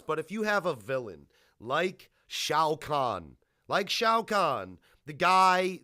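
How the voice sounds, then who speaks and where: A male podcast host with a fairly high, bright and punchy voice, recorded dry. He rants casually on his own in an animated way. His delivery leans on exasperated emphasis and plenty of swearing. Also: dry recording. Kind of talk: casual solo rant reviewing movies.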